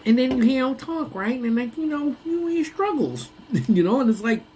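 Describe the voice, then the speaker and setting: A man's voice: very high-pitched, very animated, moderate pace, dark tone, slight background noise. A young man hosting a casual lunch-break live stream, rambling and laughing often, with a voice that sounds congested. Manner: rambling, laughs often, trails off mid-thought.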